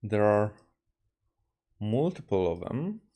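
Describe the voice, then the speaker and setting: A low, mellow man's voice with a Hungarian accent, talking slowly and haltingly at a desk microphone. He streams a game casually and often stops and restarts his sentences. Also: sing-song. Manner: halting, with many fillers and restarts. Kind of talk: casual livestream commentary, reading game dialogue aloud.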